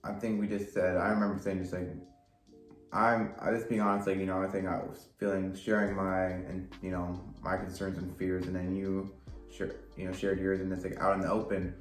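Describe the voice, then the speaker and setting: Deep-voiced young man, halting and casual. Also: monotone, reverberant, faint music behind. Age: young adult. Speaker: partner of the vlogger. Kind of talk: casual chat.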